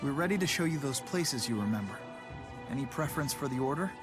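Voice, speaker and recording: A man's voice: medium pitch, lively intonation, fast, lightly acted. An actor playing a friendly, polite, earnest young man in a video game cutscene, casual and eager to please. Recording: music under the voice.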